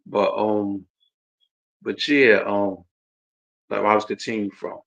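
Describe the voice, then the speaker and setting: A man's voice: lowish voice, steady tone, slow, creaky, a bit bright. A man of about forty with a New Jersey accent, chatting casually and informally as he hosts a livestream, rambling and repeating himself. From Jersey City, New Jersey. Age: around 40. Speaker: host. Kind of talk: casual autobiographical livestream chat. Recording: dull, lo-fi stream audio.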